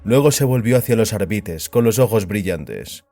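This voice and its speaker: Un hombre que narra un relato dramático con guion, como narrador de audioficción. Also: male voice, on the low side, lively intonation, moderate pace, warm tone, soft background music. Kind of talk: scripted dramatic story narration.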